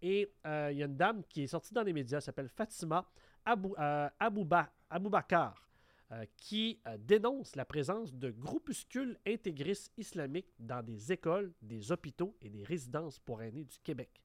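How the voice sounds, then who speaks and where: A Québécois man with a fairly high voice hosts a radio talk show. He reads news items and comments on them with fillers and restarts. The sound is dry and close. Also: very animated, normal speed, no echo. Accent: Québécois French.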